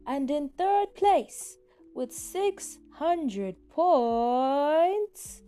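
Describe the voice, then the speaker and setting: A woman's voice: sits high, lively intonation, dark tone, soft background music, voice pushed up, heavily acted. A woman voicing a smug, theatrical young male announcer in an audio story, dragging out words for suspense. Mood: purring suspense. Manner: drawn-out, suspense-building delivery. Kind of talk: fan fiction audio story.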